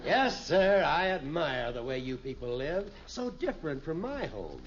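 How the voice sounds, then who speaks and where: Effusive middle-aged American gentleman, lively pitch. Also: measured pace. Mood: jovial admiring. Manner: effusive, jovial. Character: genial, flattering middle-aged businessman house guest. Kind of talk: 1950s radio sitcom acting. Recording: muffled, hissy old radio recording.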